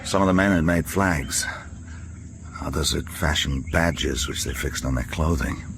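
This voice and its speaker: A man playing a weary American former prisoner in a radio drama, telling his memories in a measured, gentle way. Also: rumbling low. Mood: reflective. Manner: measured, reflective storytelling. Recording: clearly noisy background.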